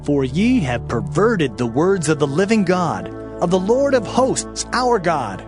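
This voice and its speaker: A man with a fairly high, very animated voice reads scripture as a dramatized audio Bible. His delivery is solemn, declamatory and emphatic, with music clearly playing behind him. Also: a little slow. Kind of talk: dramatized King James scripture reading. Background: background music.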